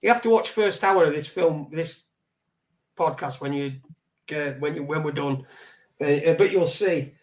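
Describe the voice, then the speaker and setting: High-voiced, blunt man. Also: reverberant. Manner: blunt, swears freely, halting with many fillers. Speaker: co-host of a live online talk show. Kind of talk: casual live-stream chat.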